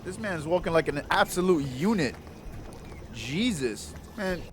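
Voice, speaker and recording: A man's voice: a little high, sing-song, measured pace, slight background noise. A man giving casual, slangy, joking gameplay commentary as a let's play host. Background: game music.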